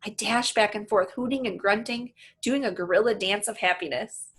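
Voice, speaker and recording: A woman reading a children's book aloud in an animated, storytelling manner. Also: mid-range voice, moderate pace, slightly rough. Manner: animated, acts out the dialogue. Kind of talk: expressive read-aloud narration with asides to listeners.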